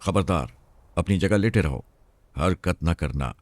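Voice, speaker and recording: A man's voice: deep voice, expressive, measured pace, no echo. A man voicing a bold young girl in a suspense story, speaking in a stern, cocky, warning way.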